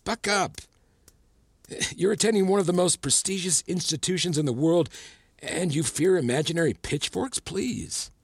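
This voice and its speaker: A man's voice: medium pitch, big pitch swings, moderate pace, creaky, breathy edge, no echo, exaggerated voice. An actor playing a persuasive, patronizing male professor and official in an audio drama, speaking articulately and coaxingly. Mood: scornful, goading. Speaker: actor in a fiction podcast.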